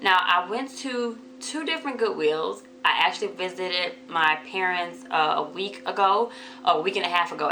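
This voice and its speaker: A woman with a hoarse voice from a cold, chatting casually to viewers in a haul vlog. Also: bright, forceful tone, a little hiss or hum, low-quality recording. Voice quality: deeper than usual. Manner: chatty, addresses viewers as y'all. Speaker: vlogger.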